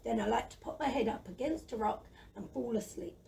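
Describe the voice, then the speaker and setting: A woman in a children's audio play voicing a gentle, lazy, timid dragon who chatters in a plaintive, excitable, wordy way. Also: on the high side, fairly quick, vocal fry. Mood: chatty, confiding. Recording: noisy, slightly distant microphone.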